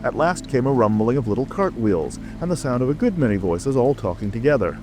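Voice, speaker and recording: A man reads a storybook as narrator in a medium-pitched voice with lively intonation. Music and rain play clearly behind him. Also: brisk. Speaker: audiobook narrator.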